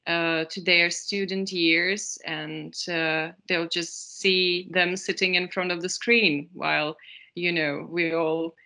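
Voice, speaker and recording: A woman interviews her guest in a measured, formal way. Her voice is pitched a little lower than most women's, yet it sounds bright and forward, and she sets out each question carefully. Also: steady tone.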